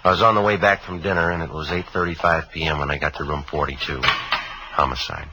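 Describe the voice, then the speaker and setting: A man's voice: extremely low pitch, steady tone, fairly quick, very noisy. A man acting a terse, matter-of-fact homicide sergeant in a vintage radio crime drama. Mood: flat, matter-of-fact. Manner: clipped, understated, matter-of-fact. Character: terse, businesslike male police homicide sergeant. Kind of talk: 1950s radio crime drama acting and first-person narration.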